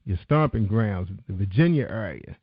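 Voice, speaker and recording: A man's voice: fairly low pitch, lively intonation, normal speed, husky, no echo, narrow, tinny audio. A man hosting a talk show, chatting with a folksy, encouraging manner. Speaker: radio talk show host. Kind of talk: casual interview chat.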